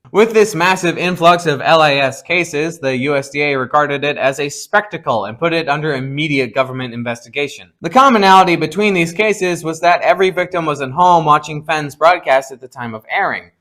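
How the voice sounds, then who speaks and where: A man's voice: fairly high pitch, a bit bright. A man narrating a scripted explainer, with informal, playful asides to the viewers. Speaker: video essay narrator.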